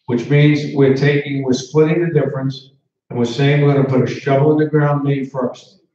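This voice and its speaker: A man's voice: mid-range voice, fairly even intonation, moderate pace, dark tone. A man presenting at a town hall meeting, taking questions and pressing on firmly with repeats to hold the floor. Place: large indoor meeting hall. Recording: echoey hall, distant, dull sound.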